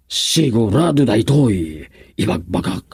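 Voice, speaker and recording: An actor playing an eccentric, babbling man in a radio comedy, with halting, garbled speech and odd catchphrases. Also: on the low side, very animated, gravelly, a little mellow, slightly rough, no room sound, studio quality, exaggerated voice. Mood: excited, babbling. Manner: halting, garbled words, frequent chuckling. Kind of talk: radio comedy drama acting.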